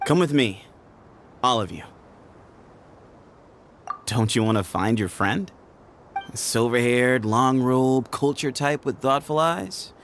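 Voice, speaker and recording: An actor playing a sly, mocking leader of a secret desert group in a video game, smooth-talking and playful. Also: male voice, medium pitch, slow, pauses often, slight background noise.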